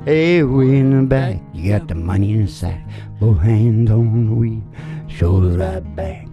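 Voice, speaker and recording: A woman's voice: a bass voice, expressive, a little slow, dull audio. A woman hosting and singing on a country music show, with a playful, loose manner and a guitar alongside. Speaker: radio show host and singer.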